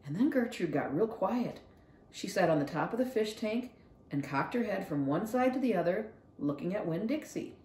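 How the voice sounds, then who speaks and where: A woman's voice: mid-range voice, expressive, normal speed, mellow. A woman narrating a children's storybook aloud expressively, as a library read-along reader. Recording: roomy echo.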